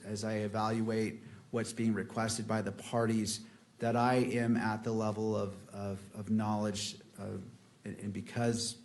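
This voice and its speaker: A man's voice: lowish voice, darkish tone. A man speaking as a judge in court, unscripted, hesitating and searching for his words.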